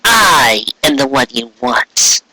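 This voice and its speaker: A man voicing a brave but anxious young female unicorn apprentice, earnest and halting, in a fan fiction audiobook. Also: medium pitch, very animated, pushed and bright, no room sound, exaggerated voice, rough recording. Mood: nervous defiance.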